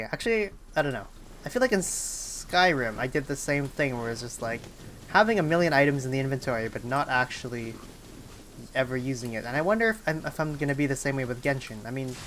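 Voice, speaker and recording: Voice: mid-range voice, a bit bright, a little hiss or hum. A game streamer giving casual live commentary, rambling and often breaking off mid-thought while thinking aloud. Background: game music.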